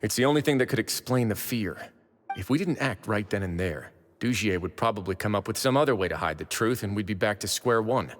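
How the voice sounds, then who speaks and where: A man playing a composed, shrewd prison official in a video game, speaking in a measured, dryly courteous manner. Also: fairly low pitch, lively intonation, big-room sound, natural voice. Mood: self-assured. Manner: measured, unhurried, dry. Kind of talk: video game character acting. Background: game music.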